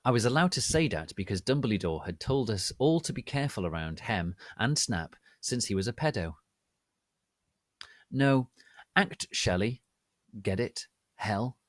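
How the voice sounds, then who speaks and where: A man giving a theatrical, deliberate dramatic reading of fan fiction as its narrator. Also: lowish voice, very animated, dry recording, clean studio sound. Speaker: narrator, reader.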